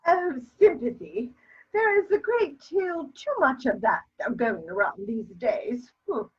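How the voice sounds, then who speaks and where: Woman doing a bossy old posh mother, period comedy, slow swooping delivery, kinda dull recording. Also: sits high, sing-song, breathy edge, full character voice. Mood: offended. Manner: haughty, emphatic, declamatory. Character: imperious, scolding elderly aristocratic mother, easily offended. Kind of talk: theatrical dramatic reading of period comedy. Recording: dull lo-fi sound.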